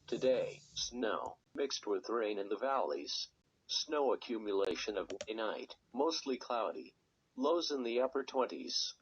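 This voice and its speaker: A synthetic text-to-speech male voice reading a scripted weather radio forecast in a measured, impersonal way. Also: mid-range voice, expressive, a little hiss or hum. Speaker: automated weather radio announcer. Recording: dull lo-fi weather radio recording.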